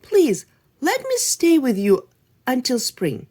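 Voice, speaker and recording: A woman's voice: high-pitched, huge pitch swings, slow, a little mellow, voice pushed up, full character voice. A woman voicing a small, timid female bird with a broken wing, asking for shelter in a storybook tale. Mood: pleading. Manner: pleading and timid.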